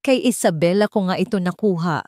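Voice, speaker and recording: A woman voicing a cold, commanding wealthy man who speaks in a dangerously calm, clipped way in an audio love drama. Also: fairly low pitch, brisk, no room sound, clean studio sound, lightly acted. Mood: icy accusation.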